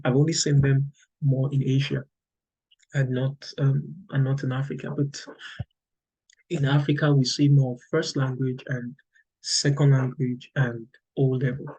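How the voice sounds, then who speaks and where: A husky-voiced middle-aged man with a Nigerian accent talks teachers through exam details at a middling pitch. He keeps checking in with "okay" and circles back to restate his points. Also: dark tone, lo-fi sound. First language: Yoruba. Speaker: English teacher. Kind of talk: explaining to teachers, conversational lecture.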